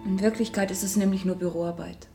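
An actress playing a modest, chatty young office clerk in a radio drama, speaking plainly and in a friendly way. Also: female voice, mid-range voice, fairly even intonation, brisk, close to an everyday voice. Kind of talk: German radio drama acting. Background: plucked guitar music.

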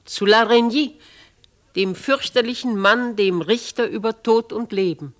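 A woman's voice: a little high, unhurried, natural voice. A woman playing a dignified, bold older noblewoman writer in a 1950s German radio drama, with theatrical, declamatory phrasing. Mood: resolute.

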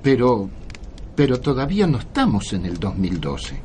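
An actor with an Argentine accent playing an old, earnest scriptwriter who narrates and questions in a radio drama. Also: male voice, mid-range voice, wildly swooping pitch, moderate pace, a little mellow, slight background noise. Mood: puzzled. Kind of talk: radio drama acting and storytelling.

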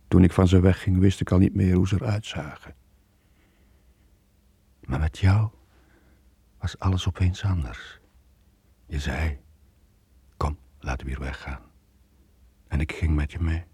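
An actor playing a weary, guilt-ridden middle-aged sailor with a Flemish accent, in a brooding radio drama style with a husky, breathy voice. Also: male voice, a bass voice, warm tone, no echo, full character voice. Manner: brooding, halting confession. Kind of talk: 1980s Dutch-language radio drama acting.

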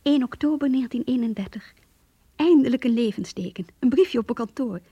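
A lovesick young woman speaks with a breathy, somewhat high voice in a very dry, close sound. She is earnest and intimate, as if confiding a diary in a radio drama. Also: fairly quick, mellow, no room sound, muffled recording. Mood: hurt, sorrowful. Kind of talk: Dutch radio play, diary monologue and dialogue.

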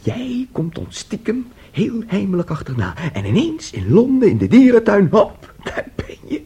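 An actor in a Dutch radio play playing a gentle, childlike, dreamy young man with a soft, breathy voice and naive manner. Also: sits high, huge pitch swings, moderate pace, exaggerated voice. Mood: playful, excited. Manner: boyish, gentle, naively imaginative. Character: Thomas, soft, childlike, dreamy, easily swayed young brother.

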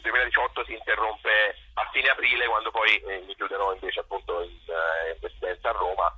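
Man, raspy, piercingly bright, casual theatre guest. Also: fairly low pitch, little pitch movement, brisk, muffled recording. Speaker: theatre author-actor being interviewed. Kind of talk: casual interview chat.

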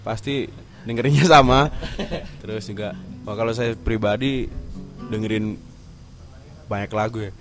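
A man's voice: on the low side, steady tone, unhurried, pauses often. A man, a band musician, talking casually as an interview guest. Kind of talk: casual chat. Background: music playing.

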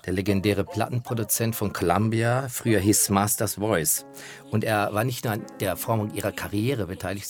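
A man narrating personal reminiscences as author of a radio feature. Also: on the low side. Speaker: author and narrator, former magazine editor-in-chief. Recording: music behind the voice. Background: music.

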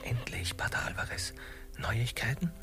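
A man's voice: moderate pace, in a whisper, over music, lightly acted. A man playing an eager, awkward, long-suffering Italian seafarer in a comic radio play. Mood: polite, hesitant.

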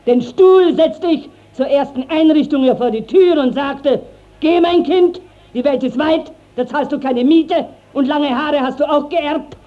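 A woman's voice: high-pitched, measured pace. An actress playing a garrulous, righteous village mother, declaiming in a classical German radio drama. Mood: bitter indignation. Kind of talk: classical German stage-style radio acting. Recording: dull 1950s radio drama recording.

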